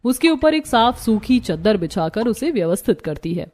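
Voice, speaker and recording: A woman's voice: on the high side, very animated, normal speed, darkish tone. A woman narrating a scripted cartoon story.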